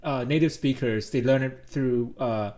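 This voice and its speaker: A man's voice: medium pitch, unhurried, darkish tone. Laid-back young man from Hong Kong. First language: Cantonese. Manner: laid-back, explanatory, laughs often. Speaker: podcast co-host. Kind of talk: casual podcast chat.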